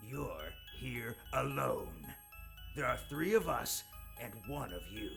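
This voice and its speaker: A man playing a pompous, menacing demon lord in an audio drama, commanding and gravelly. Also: mid-range voice, sing-song, slow pace, a bit bright, faint music behind, full character voice. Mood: contemptuous.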